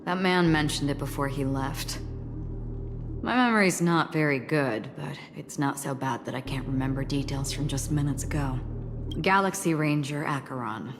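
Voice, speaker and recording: A woman playing an enigmatic, composed wandering ranger in a video game, speaking in a measured, formal, deadpan way. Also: low voice, in a large hall, music in the background. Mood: detached, gentle.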